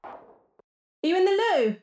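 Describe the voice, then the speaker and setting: Casting: woman as impatient, nagging wife, high voice, blunt naturalistic delivery, echoing household acoustic. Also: sing-song, slow, a little mellow, full character voice. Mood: questioning. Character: nagging, exasperated wife and fellow mother. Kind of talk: naturalistic audio drama dialogue. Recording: roomy, echoing household sound.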